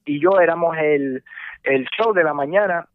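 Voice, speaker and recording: A man from New York raised in Rico, talking in a relaxed interview about his radio career with many fillers. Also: fairly high pitch, moderate pace, no echo. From born in New York, raised between Puerto Rico and the US. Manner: relaxed, many fillers, mixes English words. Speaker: radio host guest. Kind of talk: casual interview, recounting career. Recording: tinny phone line, dry.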